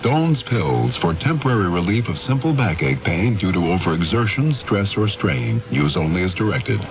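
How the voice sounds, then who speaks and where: Very deep male commercial announcer. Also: very animated, moderate pace. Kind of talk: 1970s scripted radio commercial tag.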